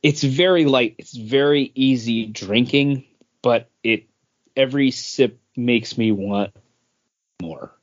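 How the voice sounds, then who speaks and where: A man co-hosting a podcast, chatting in a measured, thoughtful way. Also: mid-range voice. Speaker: podcast co-host. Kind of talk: casual chat. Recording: dull lo-fi sound.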